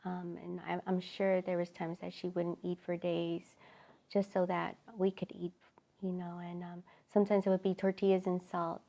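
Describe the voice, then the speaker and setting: A middle-aged woman from El Salvador tells her personal testimony in a halting, reflective way with many pauses. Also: lowish voice, completely flat delivery, creaky, mellow. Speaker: ministry founder.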